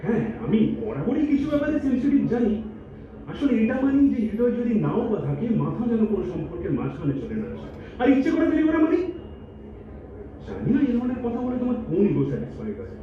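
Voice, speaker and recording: A man's voice: very high voice, wildly swooping pitch, fast talker, full character voice. A man playing an exasperated, defensive boyfriend in a melodramatic stage audio drama. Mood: indignant. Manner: pleading, defensive, indignant. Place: multipurpose hall stage. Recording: distant echoey hall, thin phone-like, faint noise.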